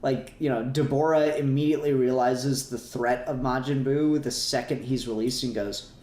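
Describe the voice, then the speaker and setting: A man's voice: mid-range voice, fairly even intonation, moderate pace, a little mellow. A man co-hosting a podcast, talking casually and at rambling length about fan topics, with some swearing. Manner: rambling, profane. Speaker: podcast co-host. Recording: roomy, echoey voice recording.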